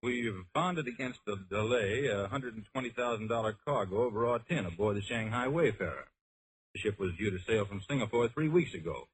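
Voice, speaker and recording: Low-voiced businesslike company official. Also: male voice, a little fast, breathy edge. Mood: concerned, businesslike. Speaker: insurance client briefing an investigator. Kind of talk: old-time radio drama acting. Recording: noisy vintage radio recording.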